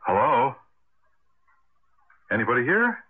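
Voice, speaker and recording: An actor playing a folksy, reassuring American husband in a radio drama, speaking a little hesitantly. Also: male voice, medium pitch, very animated, takes it slowly. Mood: puzzled, calling out. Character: reassuring husband stranded in a deserted town. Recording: noisy, lo-fi radio broadcast recording.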